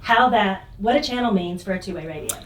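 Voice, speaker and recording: A woman's voice: medium pitch, animated, a little fast. Distant, roomy-sounding woman interviewer. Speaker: interviewer behind the camera. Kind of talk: casual question asking. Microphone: distant from speaker, behind camera. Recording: roomy, off-mic behind camera.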